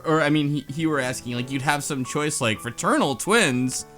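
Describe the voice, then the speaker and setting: A man's voice: fairly high pitch, normal speed, a bit bright. A man co-hosting a podcast in casual chat, interjecting with questions and comments. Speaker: podcast co-host. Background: music under the talk.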